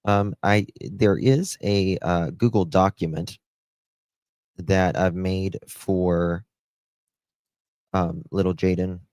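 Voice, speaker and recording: A middle-aged man with a Southern US accent, hosting a casual livestream into a close desk mic in a halting, unhurried way. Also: deep voice, darkish tone, dry recording. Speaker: livestream host. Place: small room at home, indoors. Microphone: close desk microphone.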